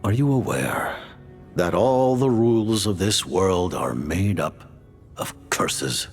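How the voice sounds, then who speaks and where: A solemn ancient commander in a game cutscene, his voice very deep and gravelly. He rides up and down a lot as he lays things out in slow, weighty pronouncements, with soft music under him. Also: expressive, heavily acted. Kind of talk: video game cutscene voice acting.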